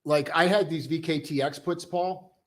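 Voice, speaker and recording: A young man casually hosting a live stock-trading stream, chatting loosely and joking with viewers in a relaxed, tired way. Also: on the high side, moderate pace. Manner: loose, joking, full of restarts and "dude". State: tired, low on coffee.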